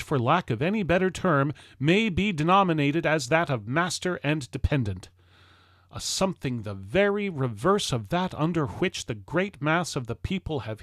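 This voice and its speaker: A man with a fairly high voice delivers a historical address in a very dry acoustic. He reads it as formal oratory, solemn and declamatory. His phrases are measured and set apart by deliberate pauses. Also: dry recording. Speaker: reader of a historical speech. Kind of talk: formal oratory read aloud from a script.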